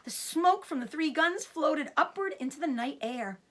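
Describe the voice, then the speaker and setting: A woman's voice: high voice, lively intonation, normal speed, fairly bright tone. A woman reading a children's story aloud like a teacher, with dramatic, suspenseful narration.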